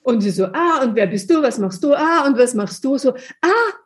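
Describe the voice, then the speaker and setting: A woman's voice: high-pitched, animated, moderate pace. A woman hosting an interview podcast, chatty and laughing often. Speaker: podcast host. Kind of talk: casual interview chat.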